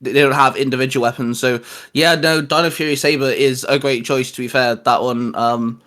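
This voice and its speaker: A young man chatting casually as a co-host, excitable and quick to laugh, swearing freely. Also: medium pitch. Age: young adult. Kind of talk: casual podcast chat.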